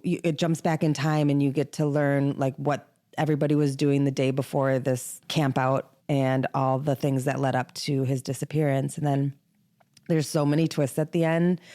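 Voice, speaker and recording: A woman's voice: deep voice, mellow. A woman co-hosting a podcast, talking in a casual, chatty way with informal, conversational phrasing. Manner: chatty, informal, frequent "like" as filler. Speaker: podcast co-host. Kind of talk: casual book chat.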